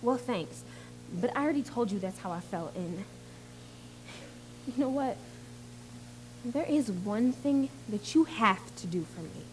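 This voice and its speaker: A woman acting a confused, frightened sixteen-year-old girl in an emotional stage drama, halting and pleading in her delivery. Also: fairly high pitch, animated, darkish tone, slight background noise, rough recording. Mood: defensive, pleading. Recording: music behind the voice.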